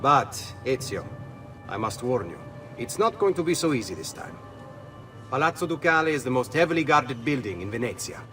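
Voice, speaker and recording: Idealistic italian thief boss, medium pitch, earnest and passionate, game cutscene. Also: male voice, very animated, fairly quick, pushed and bright, faint music behind. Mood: earnest, concerned. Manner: earnest, rhetorical. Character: earnest, idealistic male Venetian thief leader, passionate and brotherly. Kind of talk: dramatic video game acting.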